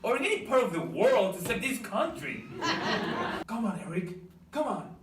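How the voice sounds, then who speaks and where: A man's voice: high-pitched, normal speed. A Peruvian man with a Spanish accent, performing a playful comedy sketch on stage. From Peru. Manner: animated, playful, laughing. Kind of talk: stand-up sketch with audience interaction. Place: live stage show. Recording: distant stage mic, roomy with loud noise.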